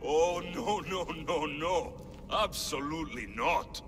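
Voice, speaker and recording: A man's voice: fairly high pitch, slow, fairly bright tone. An actor playing a dry, skeptical male mercenary hero with a sardonic wit, in a video game. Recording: game music underneath.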